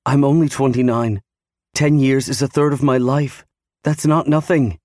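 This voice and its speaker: Male reader as young wife, distraught, pleading, raised pitch, audiobook character, dry sound. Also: fairly even intonation, moderate pace, darkish tone, no echo, natural voice. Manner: pleading, protesting. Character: distraught pleading 29-year-old wife, afraid of losing her husband.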